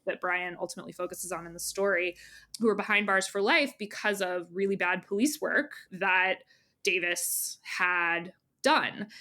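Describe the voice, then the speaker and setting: A woman with a bright, crisp-edged voice speaks as an interviewed editor. She explains in a conversational, reflective way, working through her points as she goes. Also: mid-range voice. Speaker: magazine editor, interview guest.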